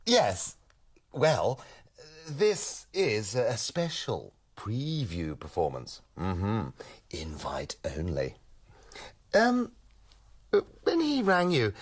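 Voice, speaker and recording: Radio comedy: flustered college drama head, low husky voice, over-polite, hesitant, very animated. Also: female voice, slow, exaggerated voice. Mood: nervous. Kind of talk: radio comedy play acting.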